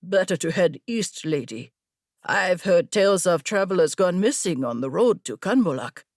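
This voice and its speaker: A woman's voice: fairly low pitch, expressive, normal speed, darkish tone, no room sound, clean studio sound. A woman voicing a stern, guarded male guard captain who speaks formally and courteously in a fantasy audiobook. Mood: cautioning. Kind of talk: audiobook fantasy character dialogue.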